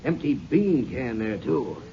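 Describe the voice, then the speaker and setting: An American actor playing a doubtful, plainspoken county sheriff in a 1950s western radio drama, talking in clipped, folksy phrases. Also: male voice, mid-range voice, big pitch swings, takes it slowly, over loud music. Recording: noisy, muffled vintage radio recording.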